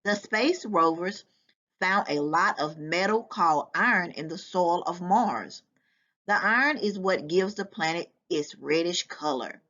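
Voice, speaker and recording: A woman reading a nonfiction book aloud to children in a deliberate, instructive way. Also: on the low side, lively intonation, pushed and bright. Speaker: volunteer children's book reader. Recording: dull, lo-fi sound.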